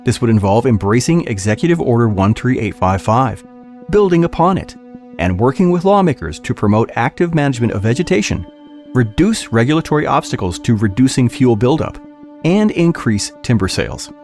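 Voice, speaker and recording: Man, scripted formal reading, animated pitch movement, medium register, podcast narrator, soft music behind. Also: brisk. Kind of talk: scripted audiobook-style reading of a policy document.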